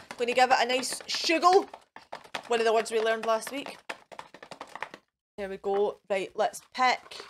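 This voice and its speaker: A Scottish woman with a fairly high voice hosts for young children. She talks in a chatty, encouraging way, as if straight to the viewers at home. Her phrases are simple and often repeated, with little questions to keep the children involved. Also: a little hiss or hum. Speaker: children's storytime host. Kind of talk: chatty children's craft and activity presenting to camera.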